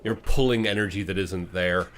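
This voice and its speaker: A man with a fairly low voice runs a fantasy tabletop game as game master. He tells the scene in a dramatic, descriptive way, over faint background noise. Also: moderate pace, fairly bright tone.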